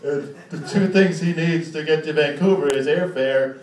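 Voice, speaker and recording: A man's voice: on the high side, moderate pace, a little mellow. A man doing live stand-up storytelling, teasing and sarcastic as he rambles through casual banter. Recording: roomy, distant, very noisy live club recording.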